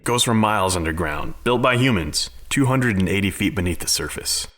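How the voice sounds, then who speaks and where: Audio drama: male actor as visionary scientist, low register, earnest, argumentative, self-assured. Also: expressive, brisk, a bit bright. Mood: insistent. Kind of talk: dramatic audio fiction acting.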